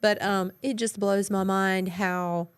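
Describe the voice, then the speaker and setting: A woman co-hosting a casual reaction talk show, chatty and quick to agree. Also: mid-range voice, level tone, smooth. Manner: chatty, affirming, laughs along. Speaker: co-host.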